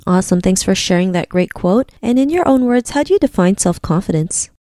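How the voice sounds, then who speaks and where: A woman's voice: medium pitch, expressive, a little fast, warm tone, no room sound, clean studio sound. A woman hosting a podcast, asking brief upbeat questions of her guest. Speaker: podcast host. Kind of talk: podcast interviewing.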